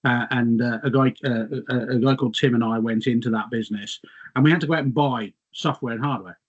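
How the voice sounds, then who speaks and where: A man's voice: mid-range voice, steady tone, fast, slightly rough, muffled recording. A middle-aged English man, a guest, slightly losing his voice, reminiscing in a rambling, self-mocking way. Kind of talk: casual reminiscing chat.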